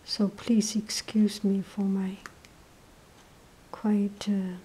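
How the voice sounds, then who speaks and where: Woman vlogger, breathy half-whisper, low energy, slow, flat delivery. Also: slight background noise, rough recording. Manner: hesitant, many pauses and fillers. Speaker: tea vlogger. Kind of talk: casual show-and-tell narration. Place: indoors at a table, off camera.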